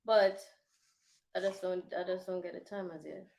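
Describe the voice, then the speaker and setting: A woman's voice: fairly low pitch, level tone, moderate pace, vocal fry, a little mellow. A woman co-hosting a casual livestream chat, giving brief, understated answers. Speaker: livestream co-host.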